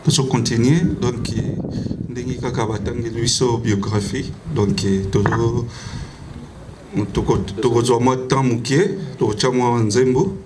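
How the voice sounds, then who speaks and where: A man's voice: medium pitch, steady tone, slow, background noise. Man casually announcing funeral plans. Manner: casual, practical announcements mixing in French. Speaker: funeral master of ceremonies.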